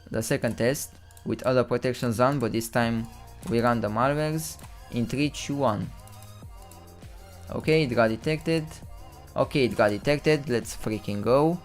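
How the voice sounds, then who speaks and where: A young man from Romania with a Romanian accent, whose first language is Romanian, hosting a casual live stream with rambling, self-correcting commentary and some stumbles over words. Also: mid-range voice.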